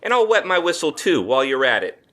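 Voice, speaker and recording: An actor playing a cocky, chatty 1950s mobster in a noir radio drama, with a breezy, mocking, slick way of talking. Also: male voice, on the high side, sing-song, quick pace, sharp tone. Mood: breezy, sly.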